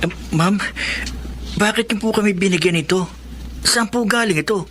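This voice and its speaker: A woman's voice: mid-range voice, normal speed, a bit bright, lightly acted. A woman playing a humble, grateful young student boy in a Filipino radio drama. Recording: faint background noise.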